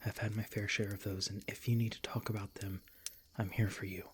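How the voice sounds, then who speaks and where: A man playing a protective, tender monster hunter in an ASMR roleplay, speaking in a gentle, halting, earnest way with a husky, breathy voice. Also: on the low side, little pitch movement, a little hiss or hum, natural voice. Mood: tender, concerned. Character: protective, tender male monster hunter comforting his beloved. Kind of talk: ASMR roleplay, soft intimate acting.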